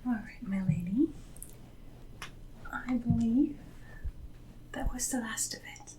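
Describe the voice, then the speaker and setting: A woman playing a devoted, deferential Regency lady's maid in a soft-spoken, often whispered ASMR roleplay, with formal, gentle speech. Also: a little high, slow pace, a little hiss or hum, low-quality recording. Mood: attentive, soothing.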